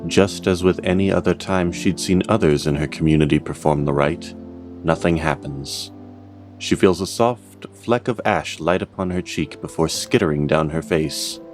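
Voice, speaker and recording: A man with a very deep voice narrates a fantasy tale. His delivery is measured and theatrical, that of a scripted storyteller, with faint music behind him. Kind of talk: scripted dramatic narration of a fantasy audio drama.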